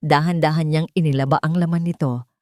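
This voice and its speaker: A woman's voice: deep voice, fairly even intonation, brisk, a little mellow, no room sound, clean studio sound. A woman reading a scripted, dramatic love story as its narrator.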